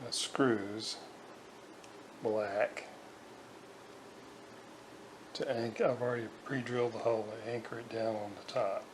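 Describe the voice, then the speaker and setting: An older man giving an unscripted hands-on workshop demonstration, talking haltingly and pausing mid-sentence. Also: lowish voice, fairly even intonation. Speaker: hobbyist restorer demonstrating a repair. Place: indoors at a home kitchen counter. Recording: noisy home video, room ambience.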